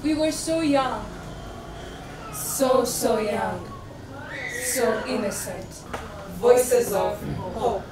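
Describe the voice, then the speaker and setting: A woman playing a wistful young voice of a new generation in a drawn-out, theatrical stage recitation. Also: on the high side, animated, a loud crowd. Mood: wistful, solemn. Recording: distant, echoey rehearsal with loud music.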